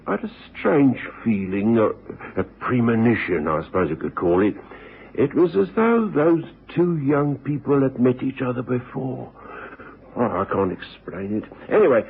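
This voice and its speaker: Husky, hesitant old English landlord. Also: male voice, medium pitch, heavily acted. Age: older. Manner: stammering, hesitant, folksy. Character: hesitant, kindly older male boarding house keeper, worried. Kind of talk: radio drama acting. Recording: tinny old radio broadcast.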